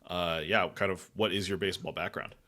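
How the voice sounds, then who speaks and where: A man's voice: sits low, steady tone, sharp tone. A man hosting a fantasy baseball podcast, talking casually with frequent fillers and restarts. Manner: halting, many fillers and restarts. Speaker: podcast host. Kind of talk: casual analytic chat.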